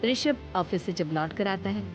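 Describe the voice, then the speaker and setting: A woman's voice: medium pitch, expressive, normal speed, over music. A woman narrating a scripted moral story as its storyteller. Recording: dull lo-fi sound.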